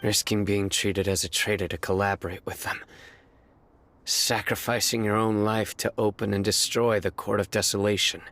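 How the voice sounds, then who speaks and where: A stern young desert enforcer with a notably low voice. His delivery is measured and restrained, serious and loyal, in the manner of a dramatic video game. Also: little pitch movement, fairly bright tone. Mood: grave, knowing.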